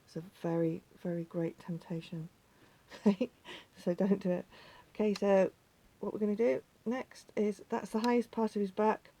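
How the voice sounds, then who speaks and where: A woman with a slightly croaky voice, patiently talking viewers through a craft step by step and often dropping to a near whisper. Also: medium pitch, steady tone, moderate pace, mellow. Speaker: needle felting teacher. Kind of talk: hands-on craft tutorial.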